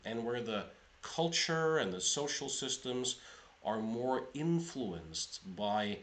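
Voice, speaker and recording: Slow, lively-voiced Norwegian-raised man. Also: mid-range voice. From Norway. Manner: measured, explanatory, thinking aloud. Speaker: psychotherapist. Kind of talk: solo video lecture.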